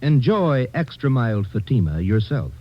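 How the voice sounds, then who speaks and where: A male American commercial announcer sells in a lively, swinging mid-century radio style. His mid-pitched voice is husky, heard on a dull old broadcast recording. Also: a little slow.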